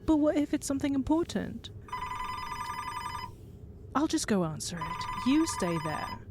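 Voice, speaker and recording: An actress playing a caring, teasing and gently bossy young wife in an audio drama. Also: female voice, high voice, big pitch swings, unhurried, noisy background, full character voice. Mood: concerned. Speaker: voice actor.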